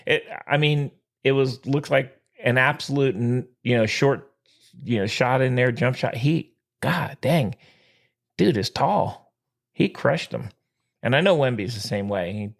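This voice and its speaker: A man co-hosting a podcast, chatting excitedly about sports and swearing freely. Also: a little slow, creaky. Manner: excitable, swears, lively swings in pitch. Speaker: podcast co-host. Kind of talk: casual sports chat.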